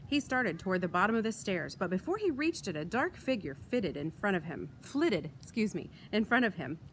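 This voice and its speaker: A woman reading a children's book aloud in an expressive storytelling style, recorded outdoors. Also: medium pitch, normal speed, poor audio. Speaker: read-aloud reader. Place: outdoors in wind. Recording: light outdoor wind and ambient noise.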